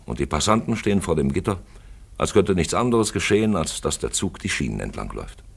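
A man acting a probing, ominous narrator-questioner in a German radio play, gravelly, theatrical and rhetorically insistent. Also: low-pitched, sing-song, a little fast, exaggerated voice. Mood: brooding, probing.

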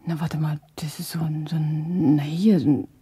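A breathy, insistent young wife. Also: female voice, low voice, fairly even intonation, normal speed. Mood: hushed, insistent. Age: young adult. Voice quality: breathy, often hushed. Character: young wife, considerate toward strangers, bossy with husband. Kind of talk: German radio play acting.

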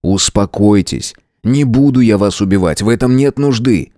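A man reading aloud, voicing a calm, soothing male captor who speaks slowly and evenly. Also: fairly low pitch, no room sound, clean studio sound, natural voice.

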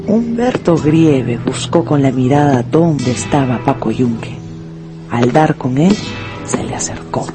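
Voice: mid-range voice, lively intonation, a little slow, music in the background. A narrator delivering a scripted, dramatic story for a radio play.

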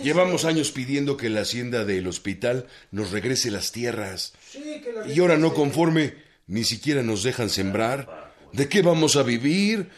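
An actor playing an aggrieved peasant who petitions with indignant deference in a radio drama. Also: male voice, medium pitch, big pitch swings, normal speed, a crowd in the background, close to an everyday voice. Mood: pleading, indignant. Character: aggrieved male peasant petitioning for village land.

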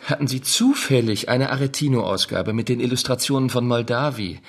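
Nosy educated secretary, raspy mid voice, precise, radio play. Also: male voice, mid-range voice, a little fast. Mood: probing. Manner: probing, precise, bookish. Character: inquisitive, sly, educated male private secretary. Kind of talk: German radio play acting.

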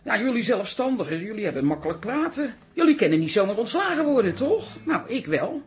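Comic radio drama: ambitious, gossipy female toilet attendant, self-important, very lively intonation. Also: a little high, a little fast. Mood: indignant. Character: vain, ambitious, chatty toilet attendant, an auntie.

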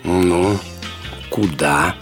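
A man's voice: sits low, very slow, over music, heavily acted. An actor playing a big, agreeable elderly father in a radio drama, speaking in short reassuring phrases.

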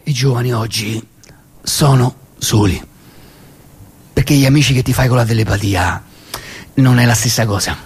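A man performing a comic stage monologue as a nostalgic, indignant old grandfather with a Roman accent, ranting in repetitions and halting pauses. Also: medium pitch, breathy edge, voice pushed down. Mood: nostalgic, rueful. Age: older. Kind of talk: theatrical comic monologue reading.

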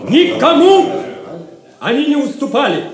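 Audio drama: defiant mysterious pilgrim, declamatory, very animated, in a roomy acoustic. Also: male voice, very high-pitched, a little slow, crowd noise, full character voice. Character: mysterious hooded pilgrim, bold and defiant knight in disguise. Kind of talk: Russian audio drama acting.

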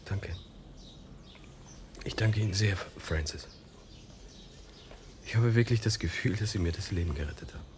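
An actor playing a weary, sick writer who speaks courteously and in a subdued way in a radio drama. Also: male voice, sits low, normal speed, pauses often, noisy background, lightly acted. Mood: weak, grateful. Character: weary, sick American writer, polite and grateful.

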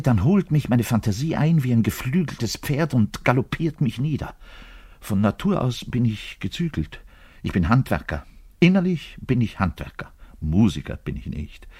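An actor playing a neurotic, frustrated middle-aged double bassist who bares his self-loathing in a radio-play monologue. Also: breathy edge, no echo, clean studio sound, heavily acted. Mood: excited outburst. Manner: volatile, swinging between muttered confession and loud outbursts. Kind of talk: dramatic monologue radio play, confiding to the listener.

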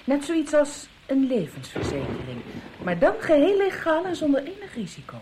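A woman's voice: sits high, sing-song, normal speed, noisy background. A woman playing an embittered, overwrought wife in an audio drama, with a dramatic, accusing manner. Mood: cool, calculating. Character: embittered, overwrought wife trapped with her husband.

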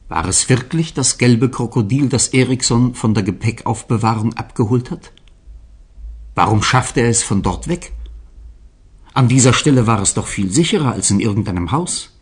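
A man's voice: mid-range voice, breathy edge. A man narrating a radio drama as a scripted, dramatic storyteller.

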